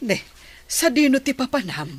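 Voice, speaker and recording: Babaeng gumaganap na maalalahaning matandang ina. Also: female voice, sits high, big pitch swings, moderate pace. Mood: motherly. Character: older motherly woman, caring and stubborn. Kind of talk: radio drama acting.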